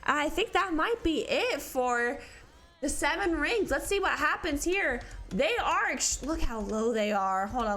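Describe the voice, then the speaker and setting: A woman doing live play-by-play commentary on an esports match, sounding stuffy from allergies and getting excited in close fights. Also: fairly high pitch, slight background noise. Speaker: esports caster.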